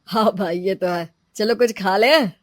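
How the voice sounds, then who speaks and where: A woman's voice: mid-range voice, unhurried, dry recording, studio quality, lightly acted. A woman voicing an easygoing, eager young man in a storybook tale. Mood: eager, casual. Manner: casual, breezy. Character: eager, easygoing young male friend planning trips.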